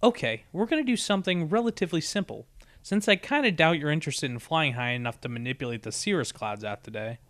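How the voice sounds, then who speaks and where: A man's voice: on the high side, lively intonation, quick pace, fairly bright tone, dry recording. A man voicing a brash, impatient female pegasus pony who shows off in casual, boastful talk in a storybook reading. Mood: eager, showy. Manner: casual, boastful rattling off of jargon.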